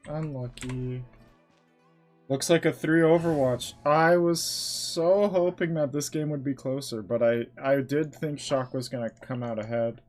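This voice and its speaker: A man with a mid-pitched voice chats casually as he streams games. He takes his time, dropping short, offhand reactions and muttered asides, with game music playing behind him. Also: fairly even intonation, measured pace. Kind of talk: casual livestream commentary and chat.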